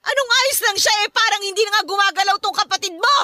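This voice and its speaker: An actress playing a sharp-tongued middle-aged mother in a Tagalog radio drama, nagging and exasperated. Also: extremely high pitch, quick pace, dry recording, clean studio sound, full character voice. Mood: scolding.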